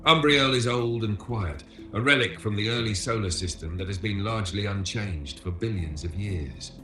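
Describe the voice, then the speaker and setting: A man giving scripted educational documentary narration as a tour guide, in a measured, solemn manner. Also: on the low side, pushed and bright, echoey room. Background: music.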